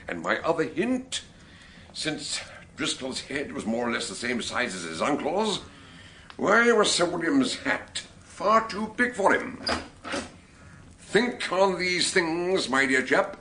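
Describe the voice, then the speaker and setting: A man acting a shrewd, genial gentleman detective with a British accent in a radio drama, musing and courteous, a little theatrical. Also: on the high side, sing-song, slow pace, slight background noise, exaggerated voice.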